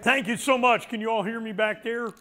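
A middle-aged man with a loud, energetic voice, giving a public lecture to an audience in an indoor hall as the head of a foundation. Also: very high voice, brisk. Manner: loud, energetic, gestures broadly while talking. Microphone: handheld microphone.

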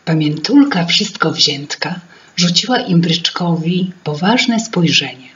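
A woman in her fifties narrating a children's fairy tale in an expressive, theatrical, deliberate way. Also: lowish voice, lo-fi sound. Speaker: storyteller. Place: indoor room with paintings.

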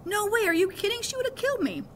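A woman's voice: extremely high pitch, big pitch swings, quick pace, background noise, voice pushed up. Woman reading as an affectionate, coaxing dad. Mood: anxious. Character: affectionate easygoing father of a young boy.

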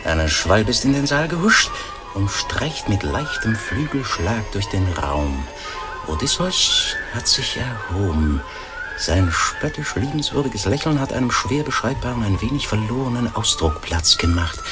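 An actor playing a learned doctor giving formal, suspenseful live commentary on a silent scene in a radio play. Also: male voice, medium pitch.

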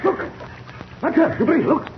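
Radio drama: overwrought castaway, very high, swooping delivery; muffled vintage recording. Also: male voice, sing-song, normal speed, a little hiss or hum. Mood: urgent excitement. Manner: dramatic, exclamatory. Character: weary male castaway turned wildly excited. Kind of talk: 1930s radio acting.

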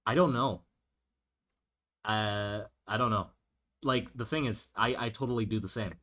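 A man's voice: lowish voice, a little slow, a bit bright. A man chatting casually on a livestream while he draws, thinking aloud and answering viewer questions with many restarts. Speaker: streamer drawing a fantasy world.

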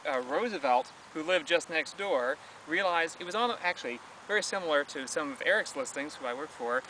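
A man's voice: fairly high pitch, animated, a little fast, sharp tone, slight background noise. Joking young man on a distant outdoor mic. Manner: jokey, chatty, trails off with ums. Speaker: travel vlog co-host. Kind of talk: casual on-location chat. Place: outdoors on a large lawn. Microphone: camera mic from a few meters away. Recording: distant outdoor camera audio.

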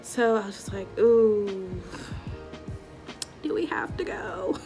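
A young woman telling a story to camera in a casual vlog, animated and slangy, acting out what people said, recorded in a small room on a camera at arm's length. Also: mid-range voice, slow pace, faint music behind. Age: young adult. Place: small indoor room before a curtain. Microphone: webcam or phone at arm's length.

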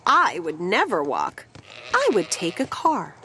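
A woman narrating a rhyming children's picture book in an expressive, deliberate storytelling way. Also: on the low side, a little hiss or hum.

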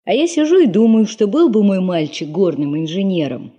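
An actress playing a weary, bitter middle-aged secretary in a 1970s Soviet radio drama, with an expressive, rueful manner. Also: female voice, medium pitch, normal speed, muffled recording. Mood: bitter, grieving. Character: weary, bitter older secretary, a bereaved mother in the north.

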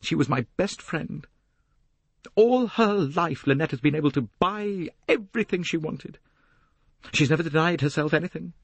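A man's voice: on the high side, lively intonation, moderate pace, husky, dry recording, voice pushed up. A man voicing a bitter, desperate young woman with a hard-edged, intense manner, in an audiobook novel. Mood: passionate, insistent.

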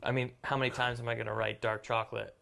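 A man in his forties with a gravelly, mid-pitched voice. He delivers his lines flat and dry, in a casual comedic exchange recorded indoors. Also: quick pace. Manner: deadpan, dry. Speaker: ad copywriter. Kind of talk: comedic scripted banter. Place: living room, indoors.

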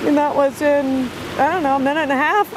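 A woman chatting casually to camera in an excitable, exclaiming way, talking to herself as she narrates like a vlogger. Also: high-pitched, brisk. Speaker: shell-collecting vlogger. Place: outdoors, wading in the sea at a beach. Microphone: handheld camera's own microphone. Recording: very loud wind and water noise.

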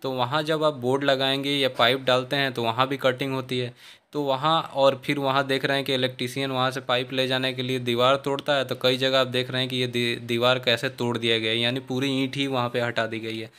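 A man's voice: medium pitch, level tone, fairly quick, pushed and bright, clean tone. A man explaining construction mistakes to viewers while walking through an unfinished brick house, recorded on a handheld phone. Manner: instructive, addresses viewers as friends, frequent restarts. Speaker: civil engineering channel host. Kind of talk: walkthrough explainer on a building site.

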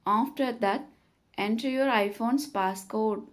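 A woman reading scripted step-by-step tutorial narration in a measured, instructional manner. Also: on the high side.

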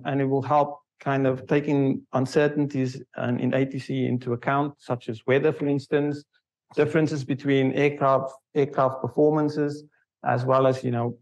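Middle aged guy doing a conference talk in a lecture room, normal pitch, kinda stop-start delivery. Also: low-quality recording. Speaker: conference speaker, research software engineer. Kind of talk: conference presentation with slides. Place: university lecture room, indoors.

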